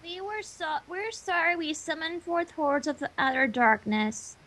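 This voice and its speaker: A woman's voice: very high-pitched, unhurried, fairly bright tone. A woman voicing flustered, indignant young female cartoon ponies with a whiny, defensive manner. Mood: sheepish.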